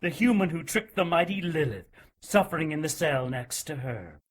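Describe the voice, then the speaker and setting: Husky, menacing zealot inquisitor. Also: male voice, on the high side, animated. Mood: gloating. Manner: menacing, deliberate, mock-polite. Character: cruel zealous religious inquisitor, coldly gloating male torturer. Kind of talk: audio drama acting.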